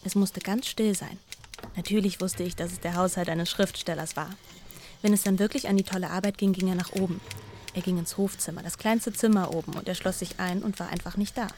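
A woman's voice: lowish voice, fairly even intonation, brisk, a little mellow. Older woman over faint background noise. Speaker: former housemaid recalling her employer. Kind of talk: reminiscence.

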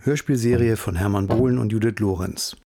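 Male radio announcer, lowish voice, scripted end credits read over prominent music. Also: a little fast.

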